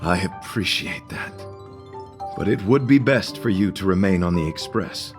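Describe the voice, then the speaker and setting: A man's voice: low-pitched, expressive, normal speed, faint music behind. A man voicing a gallant, devout knight in a video game, with formal, florid and chivalrous delivery. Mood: gentle, courteous. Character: gallant, devout male knight of beauty, courteous and earnest.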